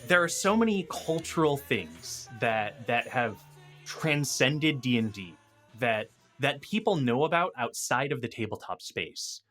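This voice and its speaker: A man speaking as a guest in a casual games discussion, thoughtful and a little halting. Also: medium pitch, very animated, fairly bright tone, faint music behind. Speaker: podcast guest, game designer and writer.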